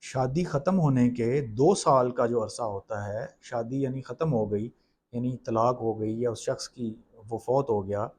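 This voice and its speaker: A man with a Pakistani accent and a medium-pitched voice gives an informal legal lecture. He explains case law step by step and drops English legal terms into his speech. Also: measured pace, darkish tone, slight background noise.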